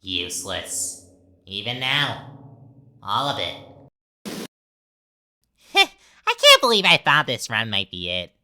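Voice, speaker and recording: A woman's voice: extremely low pitch, huge pitch swings, takes it slowly, long pauses, pushed and bright, full character voice. A woman voicing a manic, childish talking flower villain from a video game, theatrical and mocking, swinging between cutesy and menacing. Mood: bitter, muttering. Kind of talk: dramatic game villain monologue voice acting.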